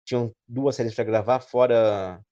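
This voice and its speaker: A Brazilian man with a medium-pitched voice. He muses aloud in a relaxed, conversational way, as a panelist in a fan discussion. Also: a little slow, lo-fi sound. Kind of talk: casual discussion.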